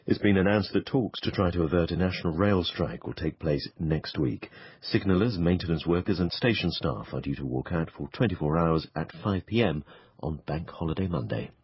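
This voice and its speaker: A British male newsreader with a low, very husky voice. He reads in a formal bulletin style, recorded dry and close with a slightly dull top end. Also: brisk, dry recording. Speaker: radio newsreader. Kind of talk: formal news reading.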